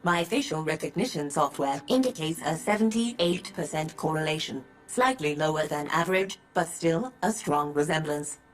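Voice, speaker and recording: A woman playing a polite, devoted robot nanny in a radio drama, with formal, precise, measured phrasing. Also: low-pitched, lively intonation, soft background music. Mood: gently formal.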